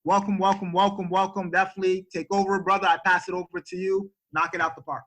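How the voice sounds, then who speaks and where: A man hosting a livestream, introducing a guest with energetic, joking hype and lots of repeated words. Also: high-pitched, fast. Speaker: livestream host. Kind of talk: hyped casual introduction.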